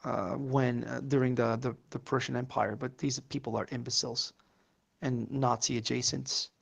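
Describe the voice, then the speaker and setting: A man's voice: medium pitch, level tone, normal speed, creaky, husky. A man whose first language is Portuguese, rambling through casual political chat with many fillers and curses.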